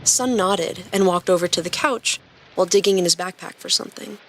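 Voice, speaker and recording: A woman hosting a podcast and narrating stories in a clear scripted reading style. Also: on the low side, brisk, a little hiss or hum. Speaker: podcast host and story reader.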